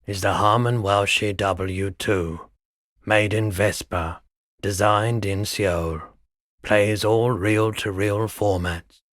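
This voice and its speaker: An actor playing a laid-back, eccentric male pawnshop keeper in a video game, deadpan and unhurried, with drawn-out phrasing. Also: on the low side, no echo, clean studio sound. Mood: matter-of-fact, unhurried. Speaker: shopkeeper character. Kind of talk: video game character dialogue.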